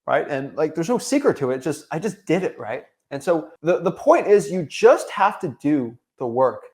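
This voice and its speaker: A young American man with a fairly high voice and very lively, swinging intonation. He talks off the cuff like a motivational coach, hammering points through repetition in loose, slangy language. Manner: emphatic, repetitive, slangy and informal. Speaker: online business coach. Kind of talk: unscripted motivational talk.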